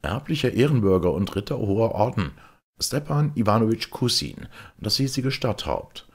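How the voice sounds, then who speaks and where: An obsequious town mayor from a storybook, acted by a male narrator. He is fussy and pompous, pitched up from the reader's natural voice and swinging eagerly in a lively range, with some husky grain. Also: normal speed, heavily acted. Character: obsequious, self-important Russian town mayor, formal and fussy. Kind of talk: audiobook character acting.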